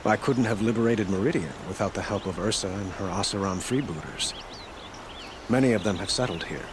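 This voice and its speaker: Guy acting regal young game king, deepish voice, formal and sincere, lots of background noise. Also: lightly acted. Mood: grave, measured. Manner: formal, measured, eloquent. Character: young sun king, regal, earnest, measured male ruler. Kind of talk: scripted video game dialogue.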